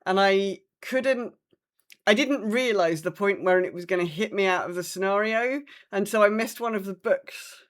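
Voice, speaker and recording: A woman co-hosts a podcast in a casual, conversational way. Her voice sits at a middle pitch as she recounts her gaming week, acting out reactions as she goes. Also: moderate pace. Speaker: podcast host.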